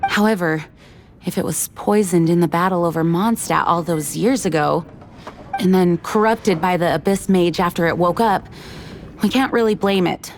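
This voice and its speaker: An actress playing an earnest, dutiful young knight commander in a video game, speaking formally and carefully. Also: female voice, lowish voice, normal speed, a little hiss or hum, lightly acted.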